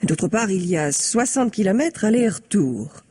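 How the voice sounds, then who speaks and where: An actress playing a composed, guarded married woman in a French radio mystery, speaking in a measured, formal, carefully worded way. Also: medium pitch, big pitch swings, a little mellow, breathy edge. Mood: composed, defensive.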